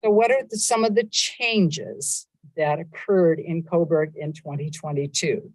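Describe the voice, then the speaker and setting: A woman delivering a prepared civic speech at a council meeting, measured and upbeat, with a loud voice, speaking into a microphone in a council chamber. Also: sits low, dry recording, poor audio. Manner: measured, upbeat, stresses lists of items. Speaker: mayor giving a state of the city address.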